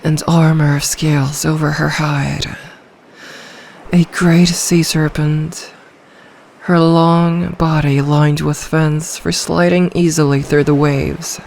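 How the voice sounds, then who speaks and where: Female performer as ancient sea dragon in ASMR roleplay: possessive, regal, seductive, very unhurried delivery, faint ambience behind. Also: sits low, flat delivery, vocal fry, a little mellow, slight background noise, heavily acted. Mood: regal, teasing. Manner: regal, seductive, slow and deliberate.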